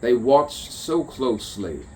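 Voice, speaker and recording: A man's voice: medium pitch, sing-song, takes it slowly, dark tone, slight background noise, low-quality recording. A man delivering a stream-of-consciousness spoken poem, musing and repeating rhyming phrases. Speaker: poet.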